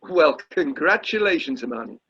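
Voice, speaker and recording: Man acting a fussy, husky amdram director. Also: on the high side, expressive, normal speed, sharp tone, full character voice. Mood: awkward, tactful. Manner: stammering, tactfully effusive. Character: fussy, polite amateur dramatics society director. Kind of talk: comic radio play acting. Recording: slightly dull video call audio.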